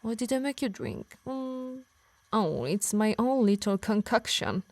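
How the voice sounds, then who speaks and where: A woman with an Italian accent playing a possessive, obsessed harpy in an ASMR roleplay, soft and breathy, slipping into whispers, both tender and menacing. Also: on the high side, big pitch swings, unhurried, no room sound. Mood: smug, menacing. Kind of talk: intimate ASMR roleplay acting.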